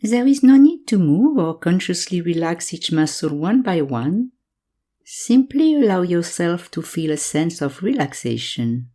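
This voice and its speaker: A woman guiding a yoga nidra meditation in calm, measured instructions, leaving pauses between phrases. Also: fairly low pitch. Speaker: meditation guide.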